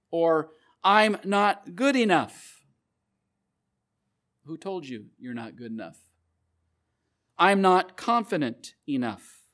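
A man's voice: a little high, fairly bright tone. A man preaching a sermon, earnestly repeating scripture references and stressing key phrases.

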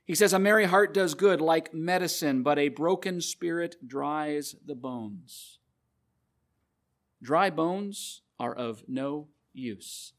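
Earnest male preacher, measured emphasis. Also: on the high side. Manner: earnest, repeats scripture references, measured emphasis. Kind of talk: sermon.

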